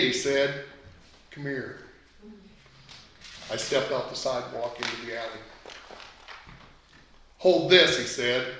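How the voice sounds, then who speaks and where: Man, middle-aged, author reading a memoir aloud, crisp bright tone, strong room echo. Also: a little high. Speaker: author reading own work. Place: indoor event room at a book launch. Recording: very echoey room recording.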